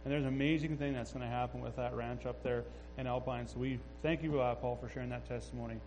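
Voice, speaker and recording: A man's voice: medium pitch, steady tone, quick pace, darkish tone, a little hiss or hum, rough recording. A man leading a church sharing time, affirming speakers with amens and inviting the next one up. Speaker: pastor leading testimonies. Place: church service.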